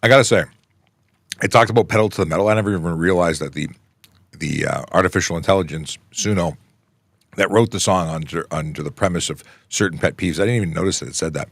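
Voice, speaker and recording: A middle-aged male traffic cop from Canada hosts a relaxed livestream Q&A, answering viewer questions in a chatty, plain way. His voice sits very low with a gravelly edge. The close mic gives a dry, upfront sound. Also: fast talker, dry recording. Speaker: traffic police officer hosting a livestream Q&A. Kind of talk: casual livestream Q&A. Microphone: close studio microphone.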